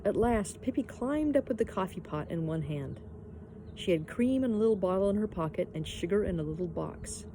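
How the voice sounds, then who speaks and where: Mellow woman reading a storybook aloud. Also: on the low side, a little hiss or hum, poor audio. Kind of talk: children's storybook narration.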